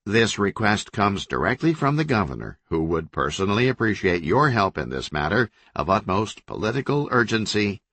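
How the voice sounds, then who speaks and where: A man's voice: lowish voice, very animated, moderate pace, hardly any pauses, fairly bright tone, no echo. A man doing a nervous, careful aide.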